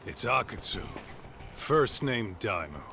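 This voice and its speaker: A man's voice: lowish voice, big pitch swings, slow pace, background noise, full character voice. An actor playing a brash, streetwise male detective in a game dub, teasing and blunt, with taunting slang. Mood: matter-of-fact. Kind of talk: dubbed video game cutscene acting. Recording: music behind the voice.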